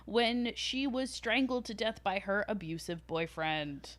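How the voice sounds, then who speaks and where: A woman's voice: medium pitch, animated, a little slow, a bit bright. She is a woman hosting a conversational podcast, with a mid-range voice. She tells stories informally, as if to friends, and drops in swearing without ceremony. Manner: informal, giggly, swears freely. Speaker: podcast co-host. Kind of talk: casual conversational storytelling.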